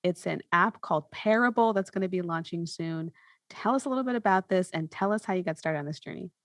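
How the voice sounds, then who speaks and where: A woman's voice: fairly low pitch, a little fast, dry recording. Woman podcast host, close dry sound. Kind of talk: casual conversational interview.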